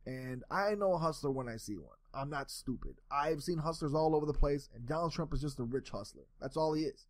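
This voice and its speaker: A man's voice: on the high side, animated, fairly quick, no echo. A young man from New York giving a solo sports opinion talk, rambling with frequent restarts and repeated words. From New York, USA. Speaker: sports commentary host.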